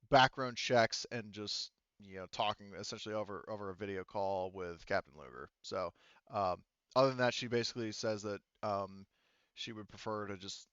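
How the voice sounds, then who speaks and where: A lower-pitched man with a smooth, clear voice runs a tabletop roleplaying game. He talks casually and haltingly, rewording as he goes, over a dry, slightly dull online-call mic. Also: fairly bright tone, no echo. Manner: halting, rambling, self-correcting. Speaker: game master.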